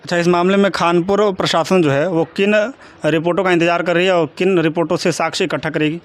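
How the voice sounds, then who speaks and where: A man's voice: sits high, steady tone, fast. A man working as a news interviewer, putting questions to his guest and giving short acknowledgements. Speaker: interviewer, local news reporter.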